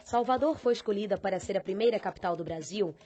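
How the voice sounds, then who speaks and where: A woman reading scripted documentary narration in a measured, formal way. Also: medium pitch, loud music behind the voice.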